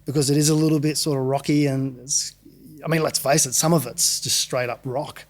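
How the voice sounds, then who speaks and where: An Australian man being interviewed as a musician, easygoing and relaxed, laughing easily and often saying yeah. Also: medium pitch, fairly quick. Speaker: guest musician. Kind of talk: casual interview.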